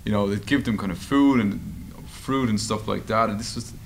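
Male interviewee, Irish, low creaky voice, conversational storyteller, light room noise. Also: moderate pace. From Ireland. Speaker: journalist and author being interviewed. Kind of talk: conversational interview answers at a public discussion. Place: meeting room at a table. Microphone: table microphone. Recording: steady background room noise.

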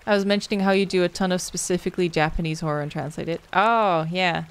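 A young woman chats loosely with her viewers at the end of a game stream. Her voice sits a little low for a woman, and she rambles, thanks people by name and fields questions from chat. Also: fast talker, smooth. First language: Dutch.

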